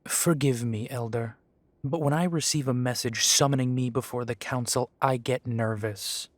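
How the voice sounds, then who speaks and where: A man's voice: mid-range voice, fairly even intonation, normal speed, dry recording, lightly acted. A man playing a sardonic half-breed werewolf with a dry, sarcastic way of talking. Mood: tense, bitter.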